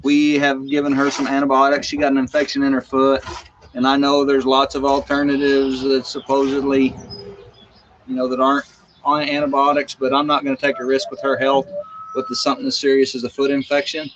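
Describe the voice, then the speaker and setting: A man's voice: mid-range voice, completely flat delivery, brisk, highs cut off, slight background noise. A man chatting casually on a farm livestream, explaining milking and fishing. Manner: easygoing, explanatory, chuckling. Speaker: homesteading livestream co-host. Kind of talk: casual live-stream chat. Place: outdoors on a farm, milking a cow.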